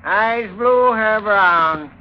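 An actor playing an officious police clerk in 1940s radio style, putting questions in a clipped, formulaic way. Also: male voice, high-pitched, drawn-out words. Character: officious police clerk taking down a suspect's details. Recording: muffled, noisy old radio recording.

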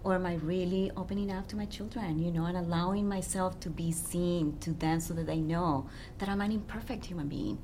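A woman's voice: lowish voice, brisk, few pauses, a little hiss or hum. A woman who works as a relationship coach, speaking as an interview guest and often checking in with her listener. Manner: warm, earnest, checks in with "yeah?".

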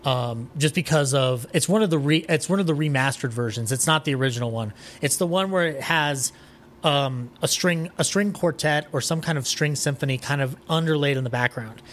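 A man's voice: on the high side, a little fast, fairly bright tone. A man chatting casually as a podcast guest, rambling with enthusiasm and swearing now and then, with some noise behind him. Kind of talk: casual interview chat.

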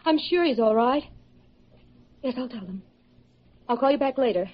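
A woman's voice: fairly high pitch, animated, moderate pace. A woman acting a worried, helpful young woman in a classic radio drama. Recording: muffled old radio recording.

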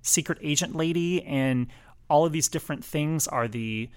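A man's voice: on the high side, moderate pace, clear, resonant tone. A man co-hosting a casual podcast, rambling through his thoughts with lots of "like" fillers. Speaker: podcast co-host. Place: office podcast studio.

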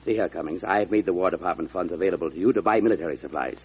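An actor playing a vain, bossy, defensive government war secretary with a pompous, imperious delivery in an old-time radio drama. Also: male voice, low-pitched, racing, slightly rough. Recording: dull old radio broadcast recording.

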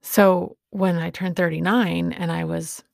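A woman in her late forties giving a reflective personal monologue as a podcast host, speaking haltingly in short phrases with a breathy, husky voice. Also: on the low side, level tone, no echo, studio quality. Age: late 40s.

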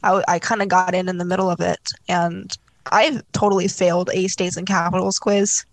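A woman's voice: on the low side, flat delivery, brisk, no room sound. A woman from South Korea chatting casually and telling personal stories. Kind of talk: casual chat.

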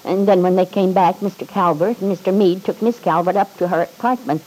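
A woman's voice: fairly low pitch, fairly even intonation, brisk, dark tone, lightly acted, low-quality recording. An actress playing a nervous, loyal old household servant in an old-time radio drama, hesitant and deferential as she stammers. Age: older.

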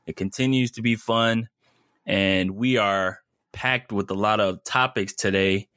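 A man's voice: lowish voice, fairly even intonation, a little slow, fairly bright tone, clear, resonant tone, no echo, clean studio sound. A man co-hosting a casual sports podcast, talking in an easygoing, friendly way. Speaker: podcast co-host.

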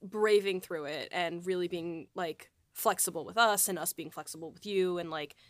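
A woman talking casually as a podcast host and writer, in a halting, conversational way that often restarts thoughts. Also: lowish voice, no echo. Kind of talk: casual panel chat.

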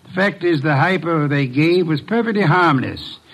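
An actor playing an imperious, eccentric older detective in a vintage radio mystery, speaking formally and deliberately with pompous weight. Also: male voice, on the high side, animated, fairly bright tone, low-quality recording. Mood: commanding, deliberate. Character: imperious, eccentric genius male private detective. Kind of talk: 1950s American radio mystery acting. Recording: vintage radio drama recording.

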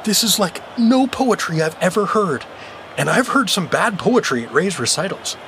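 High-voiced, bewildered everyman dad. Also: big pitch swings, normal speed, a bit bright, slight background noise, exaggerated voice, low-quality recording. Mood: baffled. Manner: incredulous, hesitant. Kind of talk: audio drama acting.

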